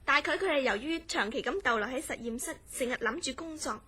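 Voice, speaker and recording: Radio drama: concerned young Hong Kong woman played by an actress, high-pitched, polite, measured and grave as she recounts a mystery. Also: sharp tone, husky, heavily acted. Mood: earnest, grave. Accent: Hong Kong Cantonese. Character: concerned, earnest young woman telling of her troubled friend. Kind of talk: Cantonese horror radio drama acting and narration.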